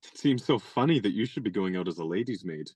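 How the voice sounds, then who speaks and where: A woman's voice: rumbling low, expressive, fast, darkish tone, slightly rough, dry recording, full character voice. A woman acting an eager, awkward young man who speaks hesitantly in a radio play. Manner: hesitant, stammering starts. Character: eager, awkward young man, shy would-be suitor and writer.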